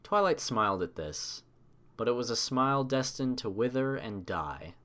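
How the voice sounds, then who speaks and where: A man narrating a scripted story with expressive, dramatic, measured delivery. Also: mid-range voice.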